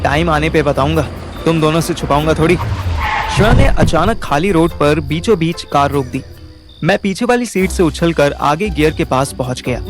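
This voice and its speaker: An actor plays a young Indian man recounting his schemes in an audio drama. His voice sits a little high, with a wry, colloquial delivery that turns placating in dialogue. Music runs clearly underneath. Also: moderate pace, natural voice. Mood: explaining, placating. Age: young adult. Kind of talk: first-person audio drama narration and dialogue in Hindi-English mix.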